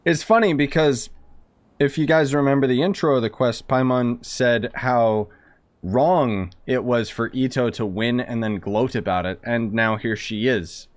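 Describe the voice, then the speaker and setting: A man's voice: mid-range voice, a little slow, no echo. A man giving casual live commentary on a video game, offhand, slangy and wry. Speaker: streamer. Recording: streamer's mic with game music behind.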